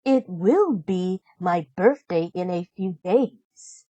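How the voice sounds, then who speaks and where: A woman voicing a sweet, cheerful, dutiful young heroine in a storybook, gentle and wishful in manner. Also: on the low side, lively intonation, slow, no echo, lo-fi sound. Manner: gentle, bright-hearted, wishful.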